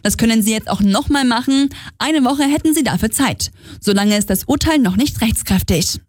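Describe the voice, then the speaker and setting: A female news anchor reads scripted regional bulletins in a fairly high voice. The sound is close and dry, straight from a studio microphone. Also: lively intonation, moderate pace, no echo. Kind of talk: scripted radio news reading.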